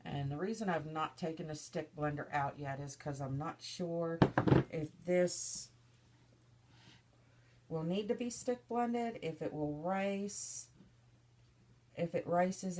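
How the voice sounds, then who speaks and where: A woman making soap, chatting through each step in a casual demonstration, her voice slightly stuffy and hoarse from a cold. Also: lowish voice, a little slow, darkish tone. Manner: chatty, narrating each step as she works.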